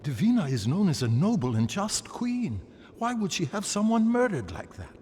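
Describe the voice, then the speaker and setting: A man's voice: high voice, wildly swooping pitch, normal speed, mellow, slight background noise. A man playing an earnest, inquisitive, restless hero in video game cutscenes. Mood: troubled, urgent.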